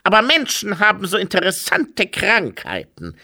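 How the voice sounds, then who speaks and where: A man's voice: sits high, wildly swooping pitch, normal speed, creaky, sharp tone, voice pushed up, heavily acted. A man voicing a sickly, gleefully morbid horseman of plague in an audiobook, with an oozing voice. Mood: morbid relish.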